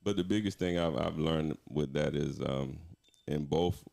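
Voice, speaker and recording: Very deep gravelly-voiced middle-aged man. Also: unhurried. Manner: relaxed, reflective, frequent restarts and chuckles. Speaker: guest, music director. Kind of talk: casual interview talk.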